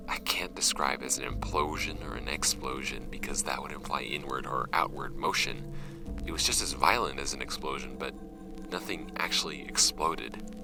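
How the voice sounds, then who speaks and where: An actor playing a shaken paranormal investigator recounting a grim field log in a horror audio drama, grave and deliberate, his gravelly voice often fading to breath. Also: heavily acted. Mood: haunted, grave. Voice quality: very low, gravelly, often breathy at phrase ends. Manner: slow-burning, grave, deliberate.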